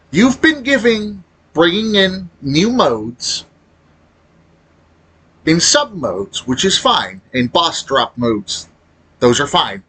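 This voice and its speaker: A man giving casual, unscripted opinion commentary as a gaming video creator, halting as he talks and blunt in his complaints. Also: on the high side, pushed and bright, breathy edge.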